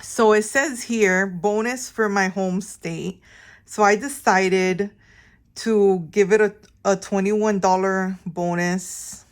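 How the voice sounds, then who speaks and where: A woman's voice: medium pitch, fairly even intonation, slow. A middle-aged woman from Miami chatting casually to her viewers in a rambling, informal way, often interrupting herself. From Miami, Florida, United States. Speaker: vlog host. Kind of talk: casual chatty personal storytelling.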